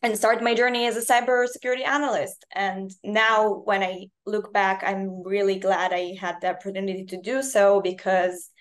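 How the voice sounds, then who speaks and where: A woman's voice: mid-range voice, fairly even intonation, moderate pace, hardly any pauses. Woman, English, earnest, interview guest. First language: Russian. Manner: earnest, slightly non-native phrasing. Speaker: cybersecurity analyst, guest. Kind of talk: casual interview talk.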